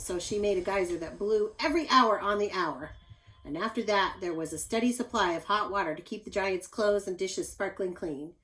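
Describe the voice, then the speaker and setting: A woman's voice: medium pitch, poor audio. Woman reading a picture book aloud. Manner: animated, addresses young listeners with asides. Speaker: teacher reading aloud to children.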